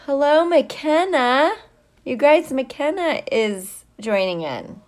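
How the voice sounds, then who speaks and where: A woman's voice: high voice, expressive, slow, a little mellow. A middle-aged woman, a teacher, chatting casually and playfully with young viewers on a livestream, drawing out her greetings. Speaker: teacher hosting a livestream for students.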